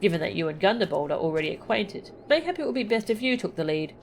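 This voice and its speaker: A woman voicing an earnest, cautious scholar from a video game with formal, old-fashioned, measured speech. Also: medium pitch, animated, vocal fry, slight background noise. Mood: thoughtful, cautious. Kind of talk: reading video game dialogue aloud.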